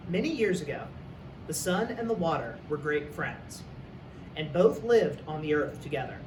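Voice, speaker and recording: A man's voice: on the high side, very animated, moderate pace. A man who works as a teacher, reading a story aloud for young students. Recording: echoey room, distant mic, faint noise.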